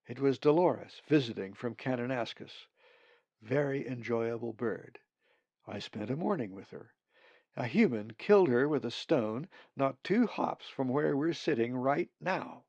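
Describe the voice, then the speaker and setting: A man's voice: mid-range voice, darkish tone, dry recording, lo-fi sound. A man voicing an opinionated, indignant, cautious female raven in a storybook, emphatic and disapproving.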